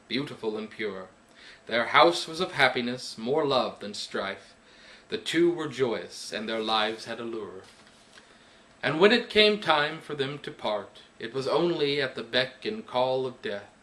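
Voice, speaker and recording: A man recites poetry as a dramatic narrator. His delivery is very slow and measured, with emphatic pauses. The tone is bright and forceful, at an average male pitch. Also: poor audio. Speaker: poet reading own work.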